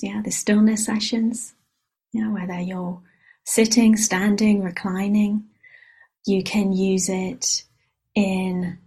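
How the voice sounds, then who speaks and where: A woman whose first language is Hebrew, a meditation teacher giving a gentle, unhurried dharma reflection and often checking in with a soft "yeah?". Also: mid-range voice, husky. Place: meditation retreat hall.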